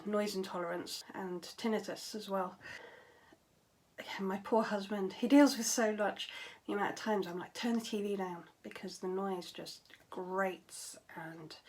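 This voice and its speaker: A young adult woman talking candidly to camera at home, tired and low on vocal power, her voice often dropping to a breathy near-whisper. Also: a little hiss or hum. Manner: candid, matter-of-fact, with frequent fillers and trailing phrases. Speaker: vlogger. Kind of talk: casual talk to camera.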